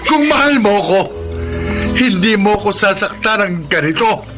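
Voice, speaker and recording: An actor with a Filipino accent playing a betrayed, grieving husband in an emotional radio drama. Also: male voice, high voice, animated, measured pace, over music. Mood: bitter, anguished. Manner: emotional, accusing, pleading. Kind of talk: Philippine radio drama acting.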